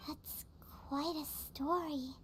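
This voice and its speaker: A woman's voice: sits high, fairly even intonation, slow pace, a bit bright. A woman voicing a tiny, childlike, naive fairy companion in a video game. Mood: puzzled. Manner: childlike, refers to self in third person.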